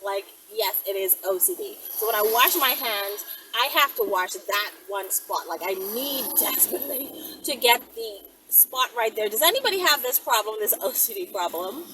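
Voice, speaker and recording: A woman's voice: mid-range voice, animated, moderate pace. A woman chatting casually to viewers in a rambling vlog, with a brash, playful, foul-mouthed manner. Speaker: video creator. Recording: distant mic with clear background noise.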